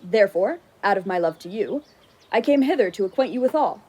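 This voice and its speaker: Woman as a blunt court wrestler, mid-pitched. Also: animated, fairly quick, mellow, slight background noise, close to an everyday voice. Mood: earnest, confident. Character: blunt, respectful male court wrestler bringing news. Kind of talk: radio play acting.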